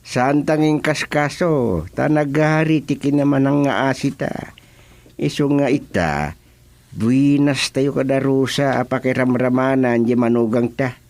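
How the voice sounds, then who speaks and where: A man's voice: mid-range voice, fairly even intonation, a little slow, darkish tone, close to an everyday voice. An actor playing a proud married village chairman who has come into money, in an Ilocano radio drama-comedy, with an Ilocano accent. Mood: pleased, marvelling.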